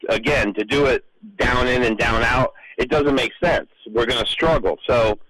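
Male sports radio panelist, mid-pitched, halting and repetitive in casual football talk, background chatter. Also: poor audio. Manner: halting, repeats words as he goes.

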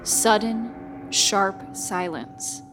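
A woman narrating a horror radio drama in a deliberate, ominous, dramatic storytelling manner. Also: mid-range voice, over music.

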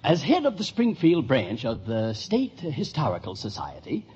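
An actor playing a fussy, earnest, formal society official in a 1950s radio sitcom. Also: male voice, mid-range voice, huge pitch swings, normal speed, a little mellow, a little hiss or hum. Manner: formal, pompous. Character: fussy, earnest, self-important male historical society head. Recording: muffled old radio broadcast recording.

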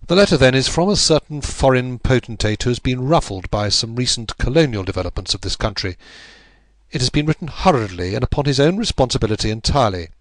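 A man reading aloud, voicing an old, stern prime minister who speaks gravely and with measured weight. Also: medium pitch, animated, no room sound, voice pushed up, close to an everyday voice.

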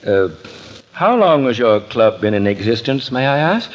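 A man's voice: mid-range voice, big pitch swings, moderate pace, close to an everyday voice. An actor playing a genial, pious older preacher in 1940s radio comedy, courteous and genteel in his speech. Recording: muffled, noisy 1940s radio broadcast.

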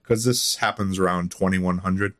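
Low-voiced man chatting as a guest. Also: steady tone, moderate pace, fairly bright tone. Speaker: interview guest, audio drama writer. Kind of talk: casual interview chat.

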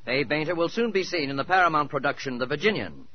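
A man's voice: on the high side, expressive, quick pace, slight background noise. A man making dramatic scripted announcements on a 1940s radio show. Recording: muffled 1940s radio broadcast, faint music.

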